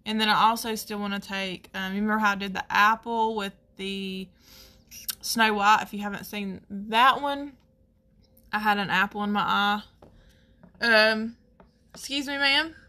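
A woman with a Southern US accent chatting casually through a makeup tutorial, folksy and rambling as she thinks out loud. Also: mid-range voice, fairly even intonation, moderate pace. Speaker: makeup artist hosting a tutorial.

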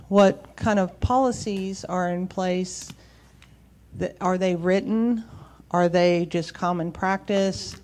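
A woman moderating a conference panel, asking deliberate questions of the audience. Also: lowish voice, mellow.

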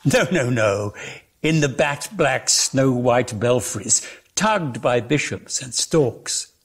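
A man giving an expressive, theatrical reading of lyrical prose as a measured storyteller. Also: mid-range voice, breathy edge. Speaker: reader of a dramatic story.

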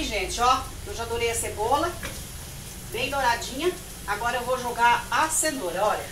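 A middle-aged woman, chatting casually and directly to viewers as she demonstrates home cooking. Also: fairly high pitch. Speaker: home cook and vlogger. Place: small home kitchen, indoors. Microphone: phone, partly from across the room. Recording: echoey kitchen with clear sizzling noise.

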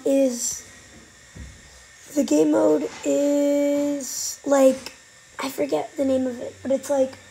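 A woman with a cold giving casual, excitable commentary while playing a game, sometimes dropping to a whisper. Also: high-pitched, creaky, low-quality recording. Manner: chatty, excitable, trailing off into whispers. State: has a cold. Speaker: gaming channel host. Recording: faint background noise.

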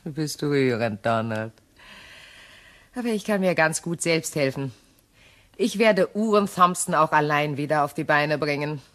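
Low-voiced guarded widow, radio drama. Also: female voice, lively intonation, unhurried. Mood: firm, cool. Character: guarded, self-reliant widow hiding her fear. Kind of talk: German crime radio play acting.

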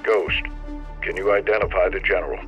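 A steely military commander with a low voice, giving curt, authoritative orders in a war game over clear background music. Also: male voice, steady tone, a little fast, fairly bright tone, lo-fi sound. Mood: commanding. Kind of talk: video game voice acting.

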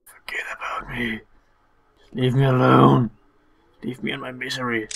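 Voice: mid-range voice, level tone, moderate pace, gravelly, slightly rough. A game player voicing a distraught, despairing young man in a melodramatic, whining way. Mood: miserable.

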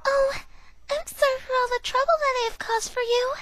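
A woman in an amateur anime fan dub, voicing a timid, gentle demon girl, meekly polite and soft-spoken. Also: very high-pitched, steady tone, a little fast. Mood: meek, sorrowful.

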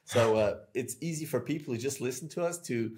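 A Swiss man in his thirties hosts a casual podcast from a small room at home. He tells his stories in an animated, chatty way. The sound comes through earbuds on a video call. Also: mid-range voice, a little fast, background noise. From Switzerland. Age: 30s. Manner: chatty, animated storytelling with hand gestures. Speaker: podcast host.

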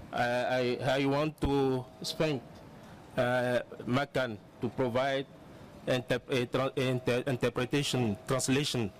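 A man speaks slowly and emphatically on a panel in a large conference hall, pausing and restarting his words. Faint room noise sits under the voice. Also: mid-range voice. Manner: slow, emphatic, with hesitations. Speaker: organization representative on a panel.

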